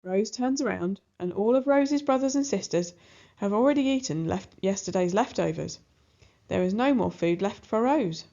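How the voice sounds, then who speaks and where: A woman narrating a children's storybook aloud in an expressive style. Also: mid-range voice, moderate pace, creaky, lo-fi sound.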